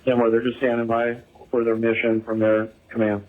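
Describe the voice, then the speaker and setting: A man's voice: medium pitch, completely flat delivery, normal speed. A man, a police officer, talking in terse procedural phrases over police radio. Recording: very narrow, phone-like radio transmission.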